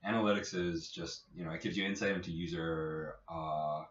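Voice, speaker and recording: Echoey distant recording, very low male voice, casual tech podcast co-host, informal and halting. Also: steady tone. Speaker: co-host, app developer. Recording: echoey room, distant muffled mic.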